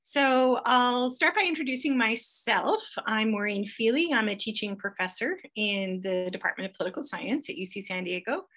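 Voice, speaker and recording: Bright-voiced middle-aged American woman, slightly gravelly. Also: a little high, animated, normal speed, hardly any pauses. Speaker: professor hosting a welcome webinar. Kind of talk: informal welcome presentation to an online audience. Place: online webinar.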